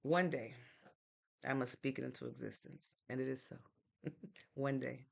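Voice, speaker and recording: Woman with a heavily gravelly voice. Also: extremely low pitch, steady tone, moderate pace. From Norwalk, Connecticut, United States. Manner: easygoing and chatty, thinks aloud with fillers. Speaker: live stream host. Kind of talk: casual live-stream chat reading viewer comments.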